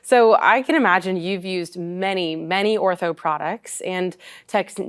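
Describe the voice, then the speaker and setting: A young adult woman hosting a studio talk show, upbeat and encouraging, often reacting with quick praise and laughs. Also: on the low side, clear, resonant tone. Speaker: host.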